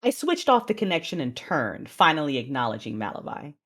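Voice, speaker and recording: A woman narrating an audio drama in the first person, with a deliberate, theatrical delivery. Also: low voice, very animated, fairly bright tone, breathy edge.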